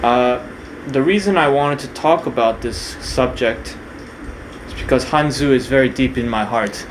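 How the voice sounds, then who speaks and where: Young man from Korea, casual unscripted talk, lots of ums and restarts, even mid pitch, steady hum behind. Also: measured pace, low-quality recording. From Korea, raised partly in New Zealand. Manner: hesitant, many fillers and restarts. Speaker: video creator.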